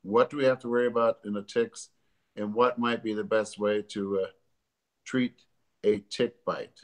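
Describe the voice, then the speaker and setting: Congested older man, halting. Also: fairly low pitch, level tone. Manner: halting, many ums and uhs. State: congested from allergies. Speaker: doctor hosting a live stream. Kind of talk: casual educational talk. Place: living room at home, sitting on a sofa. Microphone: webcam or laptop camera at desk distance.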